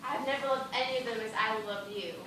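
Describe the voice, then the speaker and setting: A woman playing a proud, desperate, delirious female dancer on stage, speaking slowly and imploringly with melodrama. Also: fairly high pitch, steady tone, pushed and bright. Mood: seductive pleading. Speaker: stage actor. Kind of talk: theatrical stage acting. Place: indoor theatre stage, recorded from afar. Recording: echoey stage, distant microphone, noisy.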